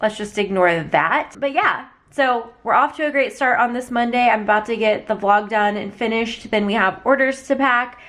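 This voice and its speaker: A young woman talks in a casual vlog style, with a medium-pitched voice that carries a slight rasp. She rambles conversationally, circling back over her thoughts as if chatting to friends. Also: fairly bright tone. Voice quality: slightly raspy. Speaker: small business owner vlogger.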